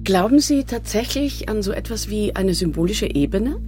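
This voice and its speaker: A woman's voice: medium pitch, expressive, normal speed, dark tone, soft background music, close to an everyday voice. An actress playing a blunt, scornful woman in a German radio drama, dismissive and insistent. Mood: scornful, brisk. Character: blunt, scornful woman who dismisses illusions and others' troubles.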